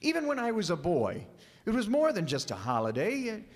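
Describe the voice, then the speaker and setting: A man's voice: sits high, wildly swooping pitch, a little fast, full character voice. A man playing a patient, teasing family father. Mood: nostalgic. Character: warm patient middle-aged father Jim. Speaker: community radio actor. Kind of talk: 1950s family radio drama. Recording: reverberant live hall.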